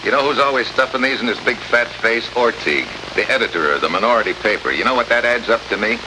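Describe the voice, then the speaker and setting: An actor playing a tough, wisecracking American special agent in an old radio drama, sardonic and slangy. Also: male voice, medium pitch, quick pace, natural voice. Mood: needling, hard. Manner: sardonic, hard-boiled, slangy. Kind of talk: 1950s radio drama acting. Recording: noisy old radio recording, heavy hiss.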